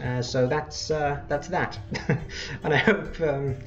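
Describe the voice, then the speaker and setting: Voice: medium pitch, fairly even intonation, takes it slowly, dull audio. A person giving casual let's play commentary, rambling and correcting themselves along the way. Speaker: gameplay host.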